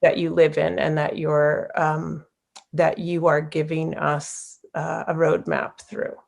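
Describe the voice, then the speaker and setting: A woman's voice: sits low, flat delivery, slow pace, creaky. A woman speaking thoughtfully and reflectively in a panel conversation about art. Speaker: panel moderator.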